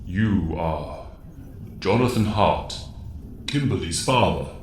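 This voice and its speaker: A man's voice: low-pitched, animated, a little hiss or hum. Man acting as grave, echoing Zordon, wise mentor. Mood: grave, commanding. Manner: grave, measured, formal. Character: wise, commanding disembodied male mentor in a computer. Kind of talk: audio drama acting.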